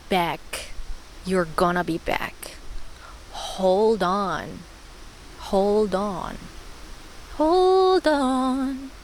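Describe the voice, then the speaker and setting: A young woman hosting a spiritual vlog, talking warmly and encouragingly, repeating words and laughing often. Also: medium pitch, a little hiss or hum, rough recording. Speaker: spiritual channel host. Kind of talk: personal spiritual vlog monologue.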